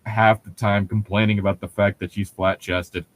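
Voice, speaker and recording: A man's voice: lowish voice, flat delivery, moderate pace. A man doing a mocking dramatic reading with sarcastic, profane ad-lib commentary and a giggly manner. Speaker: co-reader riffing on a horror story.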